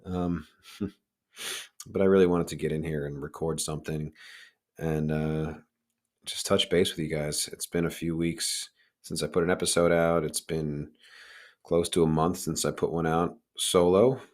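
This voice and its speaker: Podcast host, male, deep raspy voice worn hoarse, talking candidly and informally on his own. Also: little pitch movement, unhurried, darkish tone. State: hoarse, recovering from lost voice. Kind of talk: casual solo podcast monologue.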